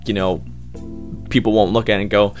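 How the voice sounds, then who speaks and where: A man's voice: lowish voice, little pitch movement, moderate pace, soft background music. A young man, a hobby voice actor, answering interview questions in a loose, rambling way, full of fillers and restarts.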